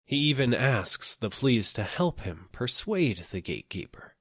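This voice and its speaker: A man narrating an audiobook, telling a literary story in a measured, deliberate way, phrase by phrase. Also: medium pitch, very animated, a bit bright, dry recording, studio quality.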